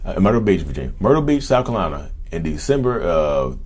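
A man in his thirties with a very low, rough-edged voice. He talks in a casual, slangy way straight to his audience. His delivery is emphatic, often circling back to repeat a phrase. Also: fast. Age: 30s. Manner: animated, repetitive and emphatic, slangy, acts out imagined dialogue. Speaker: YouTube host speaking about his own narcissism. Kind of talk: casual direct-to-camera talk.

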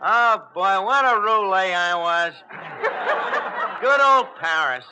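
A high-pitched bluffing wise guy. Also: lively intonation, slow pace. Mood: boastful. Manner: boastful, hesitant, malapropism-prone patter. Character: bluffing, flirtatious male tavern wise guy mangling French. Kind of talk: 1940s radio comedy acting. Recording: noisy old radio recording with clicks.

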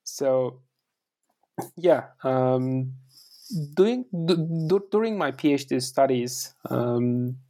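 A man's voice: mid-range voice, extremely slow, dark tone. A man from Turkey with a Turkish accent, a guest author on an interview podcast, talking in a rambling way with many fillers and repeated words.

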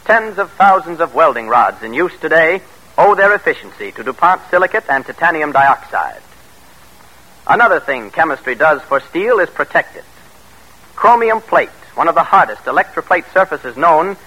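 An American man announcing in a formal, emphatic 1940s radio style, reading scripted narration with measured delivery. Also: fairly high pitch, bright, forceful tone, breathy edge, poor audio. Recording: vintage radio broadcast.

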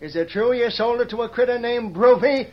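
Desperate small-town tinkerer, very high voice, folksy frontier talk, radio western, dull vintage sound. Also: male voice, brisk, a little hiss or hum. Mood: bitter, resolved. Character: desperate, aggrieved small-town inventor and shopkeeper. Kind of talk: old-time radio western acting. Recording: muffled old radio drama recording.

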